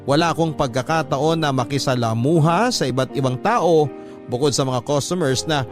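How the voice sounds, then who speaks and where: A man's voice: on the high side, normal speed, faint music behind. A man with a Filipino accent narrating a letter sender's love story in a radio storytelling style, in the first person.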